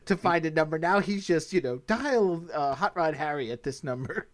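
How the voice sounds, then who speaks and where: A man's voice: fairly high pitch, normal speed. Man chatting casually as podcast host. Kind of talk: casual conversational TV commentary.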